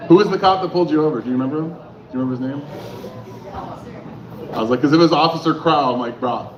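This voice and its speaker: A man's voice: on the high side, very fast. A man hosting a comedy night in a club, bantering and bringing on the next comedian. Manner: loose banter, introducing comedians. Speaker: comedy show host. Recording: echoey lo-fi club with crowd.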